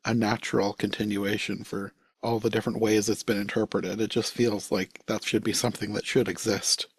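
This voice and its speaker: A man's voice: on the low side, level tone, a little fast, breathy edge, no room sound. A man talking casually as a panelist in a podcast discussion.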